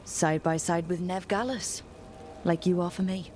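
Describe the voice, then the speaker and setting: A woman's voice: fairly low pitch, fairly even intonation, measured pace, darkish tone, slight background noise, close to an everyday voice. An actress playing a loyal, earnest young woman adventurer in a video game, with a supportive way of talking. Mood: warm, supportive. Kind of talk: video game dialogue acting. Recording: music behind the voice.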